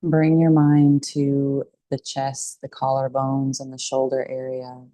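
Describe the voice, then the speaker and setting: A woman teaching a guided meditation in a calm, soothing, unhurried way with pauses between phrases. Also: very deep voice, dry recording.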